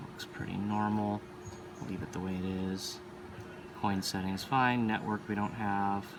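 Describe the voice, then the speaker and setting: A man's voice: on the low side, noisy background. A man giving casual, unscripted hands-on commentary, rambling a little as he thinks aloud while presenting as a hobbyist. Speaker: arcade machine hobbyist presenter.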